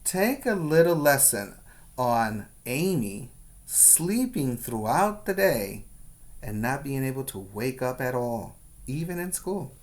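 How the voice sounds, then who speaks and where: A man reading a picture book aloud to young children as their teacher, with expressive, deliberate emphasis. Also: mid-range voice. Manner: slow, deliberate, with dramatic emphasis.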